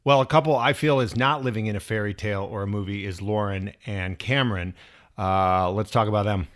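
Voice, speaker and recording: Lower-pitched man co-hosting a discussion. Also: a little fast, fairly bright tone. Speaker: co-host. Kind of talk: conversational podcast-style discussion.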